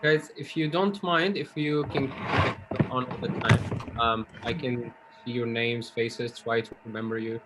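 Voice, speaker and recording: A man's voice: medium pitch, steady tone, a little slow, background noise. A man teaching an online English class over a video call, friendly and patient, with loose fillers between thoughts.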